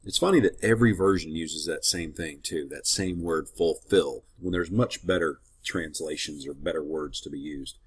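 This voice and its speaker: A man's voice: rumbling low, moderate pace, few pauses, slightly rough. A man leading a casual Bible teaching, slightly congested and sniffling, in a friendly, conversational way with rambling asides. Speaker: ministry teacher.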